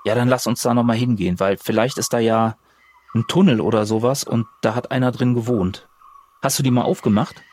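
A man's voice: mid-range voice, steady tone, a little fast, a little mellow. A man playing a role-playing game, talking casually and improvising in character.

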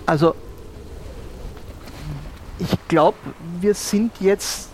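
An adventurous male diver played by a man in an improvised audio adventure, with a slightly high voice. He talks slowly and hesitantly, murmuring and repeating phrases to himself, over clearly audible background noise. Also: darkish tone. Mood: musing. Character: adventurous male treasure diver and cork shop owner.

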